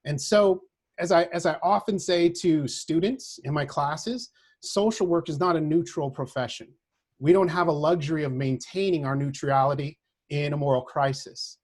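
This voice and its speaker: A man speaking as a social work professor, giving a prepared lecture in an earnest, deliberate manner, often checking in with a short tag question. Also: on the high side, a little mellow.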